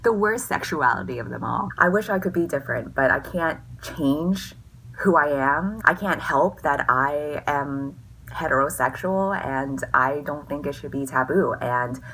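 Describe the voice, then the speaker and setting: A woman's voice: low-pitched, a bit bright, a little hiss or hum, poor audio. Tipsy, sarcastic woman in her twenties. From US West Coast. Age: 20s. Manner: sarcastic, swearing, mock-dramatic. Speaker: vlogger. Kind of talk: casual tipsy Q&A chat.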